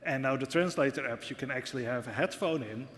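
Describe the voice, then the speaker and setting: A man's voice: medium pitch, fast, a bit bright. A man from the Netherlands with a Dutch accent, speaking earnestly as a panel speaker. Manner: earnest, repeats words when starting. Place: large hall, conference stage. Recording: hall reverb, faint ambience.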